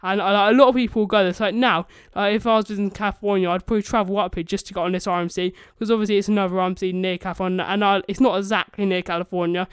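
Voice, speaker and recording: A man's voice: high-pitched, steady tone, rapid-fire, few pauses, clear, resonant tone, no echo, clean studio sound. A young man giving casual, enthusiastic, rambling commentary as the host of a video channel. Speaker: YouTube vlogger, channel host.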